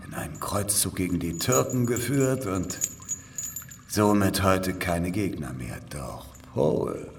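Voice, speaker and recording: Cynical old spy, extremely low voice, weary, sighing, deliberate, radio drama, faint background noise. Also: male voice, lively intonation, full character voice. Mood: weary, explanatory. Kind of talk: German radio drama acting.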